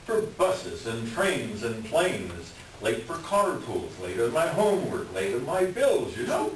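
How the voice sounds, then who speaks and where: A man playing a hapless middle-aged swindler doctor in a staged reading, pleading and self-deprecating, with a halting, wheedling delivery. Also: mid-range voice, wildly swooping pitch. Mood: rueful. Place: echoey room, far from the mic. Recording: distant echoey room with hiss.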